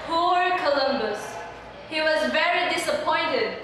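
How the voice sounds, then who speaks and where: A woman's voice: high voice, lively intonation, unhurried, background noise. A young adult woman, a student narrator reading scripted storybook narration. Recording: echoey hall from far off, music behind.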